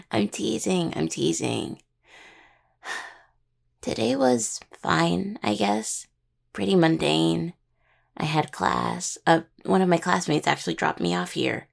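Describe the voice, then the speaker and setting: A young woman playing a caring, teasing necromancer healer in an intimate audio roleplay, with a husky voice and conversational delivery. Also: on the low side, expressive, slow pace, long pauses, heavily acted. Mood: casual, slightly awkward. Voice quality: husky, gravelly. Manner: hesitant, teasing, full of pet names.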